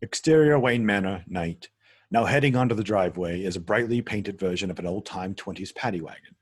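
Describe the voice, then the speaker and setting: A man narrates script directions at a table read. His voice is husky and fairly low. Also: no room sound.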